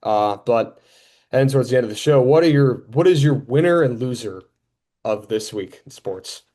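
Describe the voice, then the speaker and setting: A man's voice: medium pitch, lively intonation, moderate pace, mellow. A young man hosting a podcast, talking sports in a casual, conversational way.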